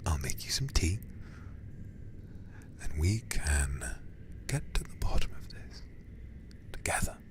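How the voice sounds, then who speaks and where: A man voicing a possessive, controlling male lover who hushes and coaxes in a soft whisper, tender on the surface and menacing beneath. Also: rumbling low, sing-song, full character voice. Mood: coaxing whisper. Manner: slow, coaxing, falsely tender. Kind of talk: audio roleplay, whispered.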